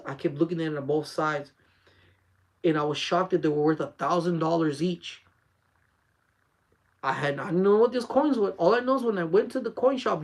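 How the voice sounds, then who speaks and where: A man's voice: fairly high pitch, darkish tone. Earnest man in his forties, urging. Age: 40s. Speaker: channel host. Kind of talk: casual faith talk to live chat.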